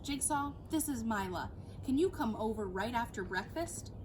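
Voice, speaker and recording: A woman voicing a thoughtful, sharp-minded schoolgirl detective in a storybook, earnestly reasoning things through. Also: fairly high pitch, animated, moderate pace, lightly acted. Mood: concerned. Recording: clear background noise outdoors.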